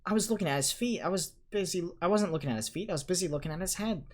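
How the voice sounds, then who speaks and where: A blunt young schoolboy who blurts things out and panics easily, as a man reads him aloud. His voice is pitched above the reader's own. He sounds indignant and sulky. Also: rapid-fire.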